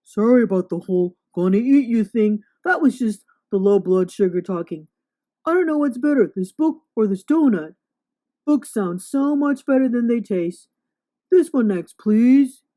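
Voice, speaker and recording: A big storybook monster played by a woman, loud and blunt, always hungry and grumbling. It has a childish, grumpy temper and booms out its complaints. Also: lively intonation, normal speed, lightly acted. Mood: sheepish, eager. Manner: blunt, booming exclamations.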